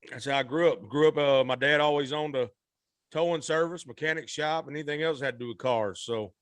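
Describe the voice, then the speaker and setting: A man in his thirties from Arkansas, a guest singer telling his story in a relaxed, rambling, folksy way, often correcting himself. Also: fairly high pitch, brisk.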